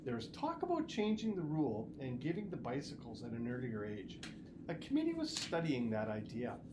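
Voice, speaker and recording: Male story reader, mid-pitched, highly animated, deliberate phrasing, noisy room tone. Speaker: school superintendent reading aloud. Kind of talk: expressive audiobook-style story reading. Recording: noticeable background noise and ambience.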